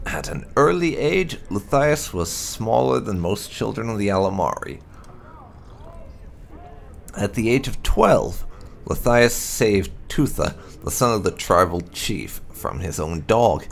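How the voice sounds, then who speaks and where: A man with a gravelly voice reading fantasy game lore aloud in a measured, solemn storytelling manner. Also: on the low side, a little hiss or hum. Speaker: gaming channel host.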